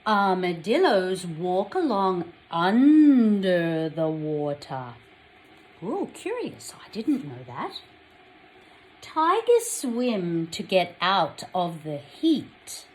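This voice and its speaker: An Australian woman reading a picture book aloud to young children, expressively, pausing to put questions to them. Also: fairly low pitch, slight background noise, rough recording. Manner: addresses young children directly, expressive storytelling.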